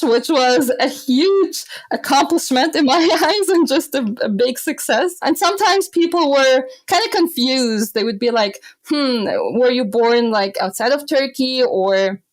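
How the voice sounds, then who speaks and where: A woman in her twenties who grew up in Austria, telling stories as a podcast guest, animated and often laughing. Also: sits high, a little slow, dry recording. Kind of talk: casual podcast interview.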